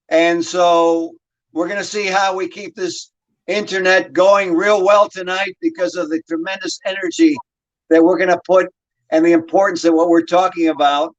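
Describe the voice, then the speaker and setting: A man hosting an interview show, reading a long guest introduction in a measured way. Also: high-pitched, highs cut off. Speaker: show host.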